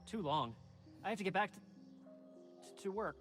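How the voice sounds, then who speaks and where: A man's voice: sits high, animated, moderate pace, fairly bright tone, soft background music. A man playing a nervous, rambling lab scientist in a video game, jittery and hesitant. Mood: uneasy, rambling. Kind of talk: video game dialogue acting.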